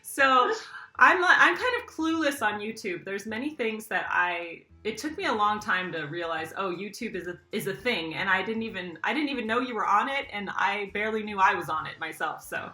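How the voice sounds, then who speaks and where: Bright, effusive woman host in a roomy space. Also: medium pitch, animated, moderate pace. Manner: effusive, laughs often. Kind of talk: interview over video call.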